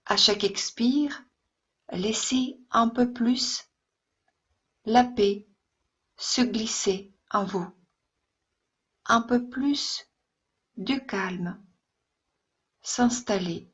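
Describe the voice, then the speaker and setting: A woman yoga instructor leading a guided relaxation meditation in a soft, soothing, gentle way, with long pauses between phrases. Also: on the high side, breathy edge, muffled recording.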